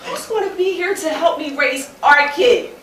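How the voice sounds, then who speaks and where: A woman's voice: very high voice, animated, moderate pace, fairly bright tone. A woman acting on stage as an anxious young pregnant American woman, earnest and pleading with her partner. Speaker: stage actor. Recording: echoey distant stage recording, clear noise.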